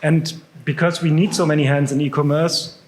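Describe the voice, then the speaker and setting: A man's voice: fairly high pitch, level tone, normal speed, a little mellow, a little hiss or hum. German man presenting on stage. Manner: hesitant, many fillers. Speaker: conference speaker.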